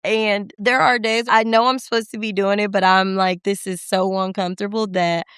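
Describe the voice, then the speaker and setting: A woman's voice: medium pitch, flat delivery, moderate pace, a bit bright, clean tone, no room sound, studio quality. A young woman co-hosting a faith podcast, talking reflectively and affirming her co-host's points. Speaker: podcast co-host. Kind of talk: faith conversation.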